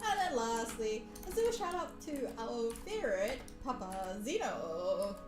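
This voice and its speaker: Female streamer, very high voice, playful and teasing, loose chatty delivery over background music. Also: very animated, unhurried. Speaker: livestream host. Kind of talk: casual live stream chat, teasing viewers. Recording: echoey room, music behind voice. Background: soft background music.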